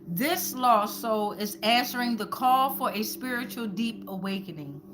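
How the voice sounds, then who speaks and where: A woman host giving an informal spiritual tarot reading to viewers, speaking colloquially and repeating phrases like incantations. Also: on the high side. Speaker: tarot reader and channel host.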